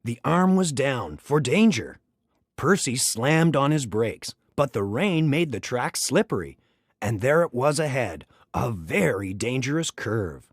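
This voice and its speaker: Male storybook narrator, very animated pitch, slightly husky, dry close sound. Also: a little slow, no room sound. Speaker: storybook reader. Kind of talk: expressive children's read-aloud narration.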